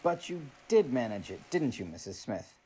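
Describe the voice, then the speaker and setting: A man plays a fatherly police inspector in a radio mystery. He swings widely in pitch, emphatic and disbelieving. The recording is faintly noisy. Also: sing-song, normal speed, mellow, close to an everyday voice. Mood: incredulous. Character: questioning police inspector, father of the young detective. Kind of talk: old-time radio drama acting.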